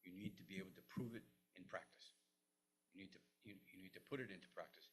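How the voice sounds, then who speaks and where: An older man, a historian, answering audience questions at a lecture, halting with many restarts and fillers. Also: sits low, slight background noise, poor audio. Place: lecture room.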